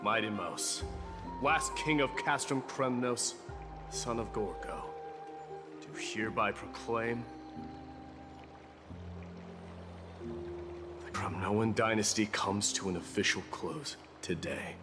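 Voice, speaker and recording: A man's voice: fairly low pitch, unhurried, natural voice. An actor playing a solemn, resolute warrior king turned god in a video game, speaking in a grave, commanding, formal way. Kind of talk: dramatic video game voice acting. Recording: loud music under the voice.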